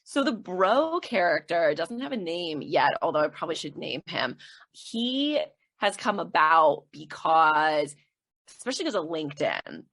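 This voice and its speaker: Chatty woman, lowish voice. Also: very animated, measured pace, fairly bright tone. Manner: laughs often, acts out quoted voices. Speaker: podcast guest, comedian. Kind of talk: casual interview chat.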